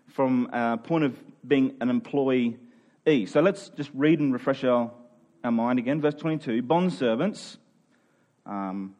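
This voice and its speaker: A man's voice: medium pitch, darkish tone. A man preaching in a conversational, expository way, informal and emphatic, often checking in with his listeners.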